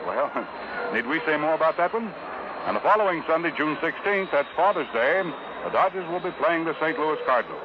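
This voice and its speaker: Folksy American radio announcer, slightly high voice. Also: male voice, very animated, a little fast. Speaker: baseball broadcast co-announcer. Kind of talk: 1950s radio promotional announcing. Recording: noisy muffled 1950s radio broadcast recording.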